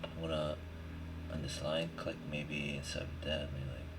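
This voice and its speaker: A man thinking aloud in a casual screen-recorded software tutorial, muttering in short, slangy fragments as he works. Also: a bass voice, little pitch movement, normal speed. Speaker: 3D modeling tutorial host. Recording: clear background noise, keyboard and mouse clicks. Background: typing and mouse clicking.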